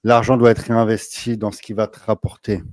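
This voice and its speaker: A man's voice: fairly low pitch, level tone, brisk, a little mellow, no room sound. A man interviewing a guest, talking emphatically and addressing the viewers directly with motivational advice. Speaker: interviewer, business coach.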